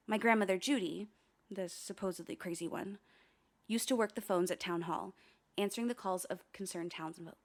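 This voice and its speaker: An actress playing a haunted, wry young woman who narrates her investigation in a confiding, ominous, deliberate way for a radio play. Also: medium pitch, lightly acted. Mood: reflective, ominous. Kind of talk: dramatic first-person radio play narration.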